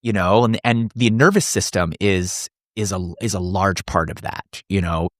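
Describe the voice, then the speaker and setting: A man's voice: sits low, normal speed, no room sound, clean studio sound. A man in his forties, a coach speaking as a podcast guest, earnest and thinking aloud with frequent restarts. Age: 40s. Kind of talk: casual podcast conversation.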